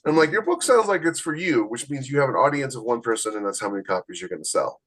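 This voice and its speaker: A man's voice: medium pitch, lively intonation, fast, fairly bright tone, breathy edge. A man chatting casually as a podcast co-host, giving writing advice in a blunt, emphatic, opinionated way.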